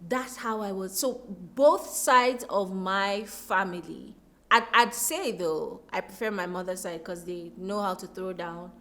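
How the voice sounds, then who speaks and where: A woman's voice: mid-range voice, unhurried, fairly bright tone. A woman with a Nigerian accent talking reflectively as an interview guest, telling things expressively with emphatic repetition.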